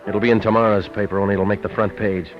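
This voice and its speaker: Man playing hard-boiled private eye, low voice, quick clipped delivery, deadpan, radio noir, dull recording with music. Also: close to an everyday voice. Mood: curt, dry. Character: wisecracking, hard-boiled male private detective. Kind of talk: first-person noir narration in vintage radio drama.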